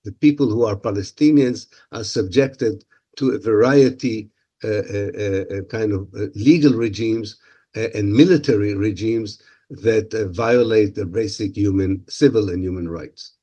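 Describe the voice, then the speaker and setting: Man, hebrew native speaker, halting and full of uhs, mellow, husky voice. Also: on the low side. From Israel. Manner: halting, many filler sounds and restarts. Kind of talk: interview answers, thoughtful.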